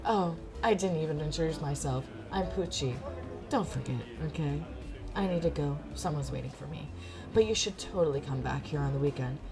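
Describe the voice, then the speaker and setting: A woman's voice: low-pitched, moderate pace, music in the background. A woman voicing a vain, fancy cat in a visual novel, haughty and gushing about itself. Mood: gushing, self-satisfied. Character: vain, fancy, self-absorbed pampered cat with pink ribbon.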